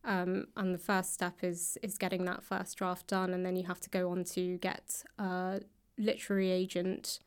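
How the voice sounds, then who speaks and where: A woman talking casually as an author guest, tentative and modest, quick to laugh. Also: fairly low pitch, level tone, clean tone. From England. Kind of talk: casual interview conversation. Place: library room.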